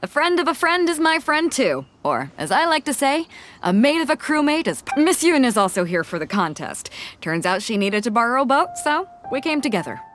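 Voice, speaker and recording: A woman's voice: high-pitched, big pitch swings, fairly quick, a bit bright, slight background noise. An actress playing a confident, easygoing woman sea captain with a friendly, laughing manner. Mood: hearty. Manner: breezy, friendly, laughs easily. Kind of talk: game voice acting.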